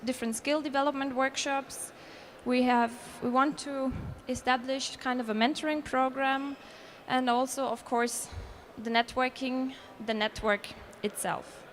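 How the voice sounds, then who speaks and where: High-voiced Austrian woman presenting. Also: a bit bright, slight background noise. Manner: earnest, with restarts and self-corrections. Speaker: conference presenter. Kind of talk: conference presentation. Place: conference hall.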